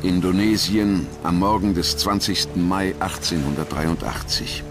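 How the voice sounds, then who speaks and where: A man narrates a documentary in a very deep voice. His delivery is scripted, measured and solemn. Music plays clearly behind him throughout.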